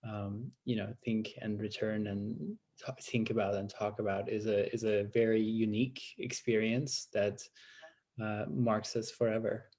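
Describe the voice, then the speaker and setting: Halting man from Iran, academic interviewer. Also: lowish voice, level tone, a little mellow. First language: Persian. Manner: halting, tentative, searching for words. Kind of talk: academic conversation.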